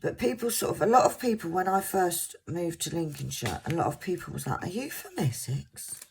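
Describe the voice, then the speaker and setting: A woman in her fifties, originally from Scotland, with a soft voice and a mixed English accent, chatting to viewers in a casual, rambling way and sometimes stumbling over words. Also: lowish voice, big pitch swings, normal speed, gravelly, a little hiss or hum. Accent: English, mixed southern. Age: 50s. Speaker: crafting vlogger.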